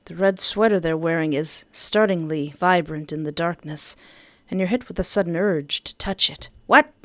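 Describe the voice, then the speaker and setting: A woman's voice: lowish voice, moderate pace, dry recording. A woman doing let's-play commentary on a video game, switching between reading narration aloud and playful, exclaiming reactions. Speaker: video game streamer playing a dating simulator. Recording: music behind the voice. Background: game music.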